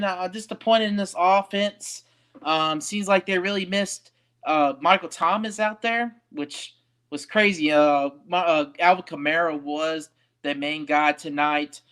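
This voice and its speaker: A man's voice: high voice, fairly bright tone. A young man hosting a sports podcast alone, giving a casual post-game recap with frequent ums and uhs.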